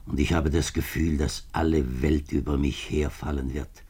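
An actor playing an anxious middle-aged government minister, husky and halting, in a 1950s German radio drama. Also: male voice, very deep voice, fairly even intonation, darkish tone. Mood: earnest, despairing. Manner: halting, agitated, formal.

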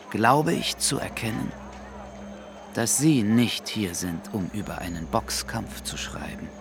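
An actor playing a formal, courteous Congolese state security official with veiled menace in a radio play. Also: male voice, sits low, background noise. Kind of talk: radio play dialogue and recollection.

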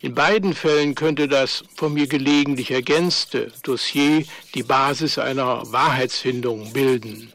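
An actor playing a sober dossier compiler, narrating formally and matter-of-factly in a radio drama. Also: male voice, mid-range voice, unhurried, few pauses, fairly bright tone.

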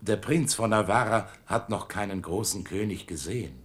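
An actor playing an anxious, deferential tutor to a young prince in a German radio drama, speaking in courtly fashion. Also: male voice, fairly low pitch, normal speed, creaky. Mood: apologetic.

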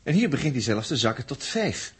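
Uneasy male crewman, very hoarse-edged timbre, swooping intonation, emphatic, for vintage space radio serial. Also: mid-range voice, big pitch swings, brisk. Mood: bewildered. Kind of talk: 1960s Dutch radio drama acting.

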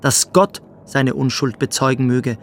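An actor playing a smug, quietly cruel young boarding-school pupil, telling his story theatrically in a radio play. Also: medium pitch, unhurried, a little hiss or hum, natural voice. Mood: gloating. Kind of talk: dramatic first-person storytelling in a radio play.

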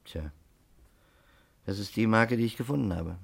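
An actor playing a polite, measured, matter-of-fact investigator in a 1960s German radio crime drama. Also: male voice, on the low side, gravelly, slightly rough, heavily acted. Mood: polite, inquiring.

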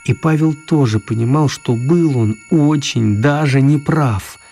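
A man's voice: mid-range voice, unhurried, dark tone, husky, faint music behind. A man acting as the narrator of a children's story, in scripted storybook narration. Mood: storytelling. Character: male storyteller of a children's tale.

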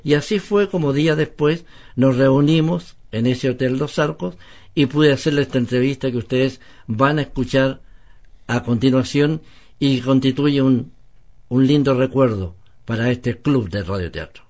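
A man's voice: medium pitch, normal speed, darkish tone. A man with a Chilean accent hosting radio, talking conversationally and courteously. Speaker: radio host and interviewer. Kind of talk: conversational anecdote and interviewing.

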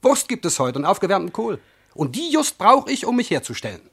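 An actor playing a gruff, greedy, domineering servant in a classical verse radio comedy, blunt and scornful in manner. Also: male voice, high voice, very animated, brisk, fairly bright tone, heavily acted. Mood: grumbling, smug. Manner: scolding, blunt, theatrical.

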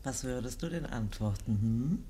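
A woman's voice: rumbling low, expressive, normal speed, mellow, slight background noise. A woman playing an elderly provost's wife in a literary radio drama, fondly teasing and firm with a touch of mock complaint. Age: older.

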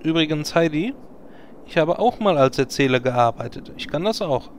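An actor playing a sardonic, grumbling adventurer with a dry delivery in a parody fantasy audio play. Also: male voice, a little high, expressive, moderate pace, slight background noise, natural voice. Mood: dry sarcasm. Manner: dry, sarcastic.

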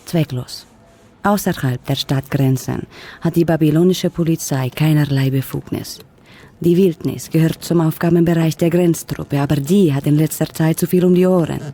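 Low, mellow-voiced official. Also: female voice. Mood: concerned, matter-of-fact. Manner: measured, matter-of-fact, official. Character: composed, businesslike female government official, discreetly persuasive. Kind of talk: radio drama dialogue.

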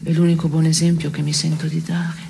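A creaky-voiced, cynical woman, speaks in terse, deadpan theatre lines. She is dry and pragmatic, with faint noise behind her. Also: deep voice, brisk. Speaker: stage actor and playwright. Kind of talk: theatrical dialogue, absurdist radio theatre.

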